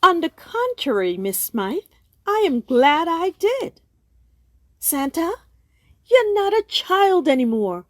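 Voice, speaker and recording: Woman as high-pitched, firm doctor. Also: big pitch swings, dry recording, clean studio sound, heavily acted. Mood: stern, insistent. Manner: assertive, deliberate, probing. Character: firm, no-nonsense female doctor counselling Santa. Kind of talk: comic radio play acting.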